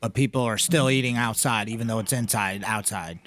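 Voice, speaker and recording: A man co-hosting a casual podcast, rambling and swearing, his pitch jumping up when he gets excited. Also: brisk. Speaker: podcast co-host, gym owner. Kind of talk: casual profane chat.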